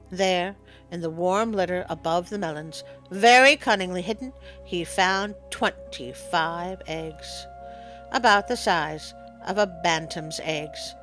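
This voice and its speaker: A woman's voice: mid-range voice, fairly bright tone. Woman storyteller with music behind her. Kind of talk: expressive children's story narration.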